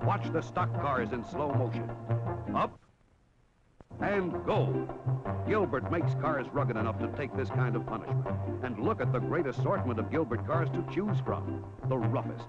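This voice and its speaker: A man narrating a 1960s TV toy commercial in an excited, punchy way, on a vintage soundtrack. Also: medium pitch, moderate pace. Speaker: commercial announcer. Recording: dull vintage TV film soundtrack. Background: loud music.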